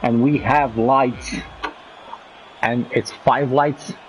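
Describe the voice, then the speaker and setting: A man with a cold commentating a sim racing league broadcast, rising in pitch when the action heats up. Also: fairly even intonation, drawn-out words, a little hiss or hum, low-quality recording. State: has a cold. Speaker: race commentator.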